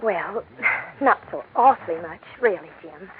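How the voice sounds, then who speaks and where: An American actress playing a sweet, excitable young wife in 1940s radio acting style. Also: female voice, lowish voice, unhurried. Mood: coaxing. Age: young adult. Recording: tinny, noisy 1940s radio recording.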